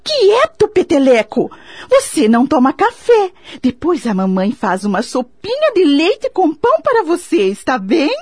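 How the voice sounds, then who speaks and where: Actress as doting older neighbor lady, Brazilian, radio drama; very animated, unhurried, fussy cooing. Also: high voice, dry recording, exaggerated voice. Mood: fussy, cooing scolding. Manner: fussy, cooing baby talk and scolding. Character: kindly older neighbor lady, doting mother to her dog.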